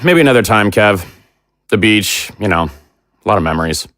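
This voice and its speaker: A man playing a hesitant, gentle dad. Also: lowish voice, expressive, normal speed, dry recording, studio quality. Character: gentle, evasive middle-aged father and tailor. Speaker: father. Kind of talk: audio drama acting.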